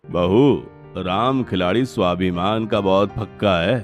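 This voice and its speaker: Male actor as older father-in-law in cartoon melodrama. Solemn, measured, low voice, music behind. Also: natural voice. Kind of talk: Hindi cartoon family melodrama.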